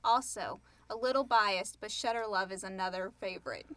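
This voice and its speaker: A woman's voice: medium pitch, moderate pace, a bit bright, no echo. A woman co-hosting a casual chat show, reading out listener questions and chiming in informally. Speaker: podcast co-host.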